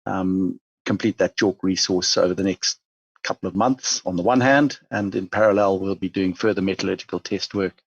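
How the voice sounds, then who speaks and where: Husky Zimbabwean man, measured business talk. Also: low-pitched, no echo, lo-fi sound. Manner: measured, many fillers and restarts. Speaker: mining company chief executive. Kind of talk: business interview.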